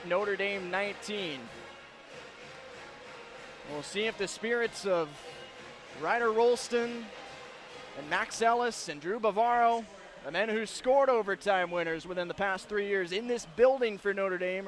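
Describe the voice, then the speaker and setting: A man doing live radio play-by-play commentary of ice hockey, narrating the action in crisp detail from an arena. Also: high voice, fairly bright tone. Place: broadcast position in an indoor hockey arena. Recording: live broadcast with clear arena noise.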